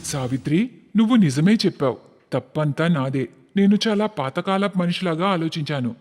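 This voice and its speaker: A man's voice: fairly high pitch, lively intonation, normal speed, a little mellow, big-room sound, soft background music, natural voice. A man voicing an older village landlord in a cartoon story who speaks in a regretful, earnest way. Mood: remorseful.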